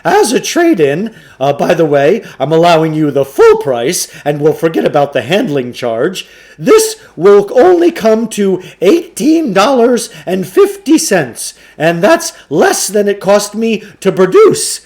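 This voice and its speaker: A man voicing a pompous, flattering huckster shopkeeper from a storybook, in theatrical salesman patter with hesitant asides. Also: sits high, measured pace, pitched above the performer's own voice, full character voice. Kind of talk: storybook character voice.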